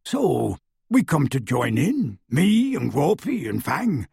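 A man voicing a huge bearded half-giant gamekeeper who talks in rough, clipped country speech and booms his words. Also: huge pitch swings, slow, a little mellow, no room sound, studio quality, heavily acted. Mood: gruff. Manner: rustic dropped-word speech, booming.

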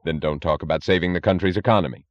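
A man voicing a calm, blunt industrialist who speaks in a cold, matter-of-fact, logical way. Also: sits low, rapid-fire, dry recording, lo-fi sound, lightly acted. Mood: blunt, dismissive. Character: calm, blunt, defiant male steel industrialist, coldly logical.

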